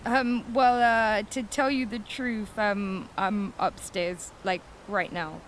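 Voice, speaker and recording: A woman's voice: medium pitch, steady tone, slow, a little hiss or hum, close to an everyday voice. A woman playing a friendly alien researcher who guides travelers in an audio drama, casual in manner. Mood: casual, hesitant. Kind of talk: English-speaking role in French audio drama.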